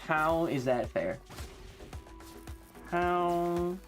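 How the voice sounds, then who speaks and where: A woman's voice: on the low side, animated, very slow, soft background music. A woman live-streaming a video game, chatting casually with slangy, sweary exclamations. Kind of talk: casual gaming stream commentary.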